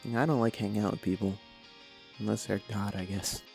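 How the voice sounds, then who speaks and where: Man playing a teasing young woman, low and creaky. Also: steady tone, a little fast, a little mellow. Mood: sardonic, playful. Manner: playful, breezy teasing. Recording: clear guitar music behind. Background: guitar music.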